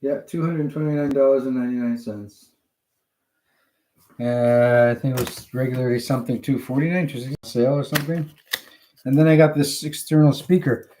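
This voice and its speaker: A man with a mid-range voice chats casually to a livestream. He talks in a halting, digressive way, thinking aloud as he goes. The delivery is that of an unscripted hobbyist showing off what he bought. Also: medium pitch, fairly even intonation, mellow. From Canada. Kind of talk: casual rambling livestream chat.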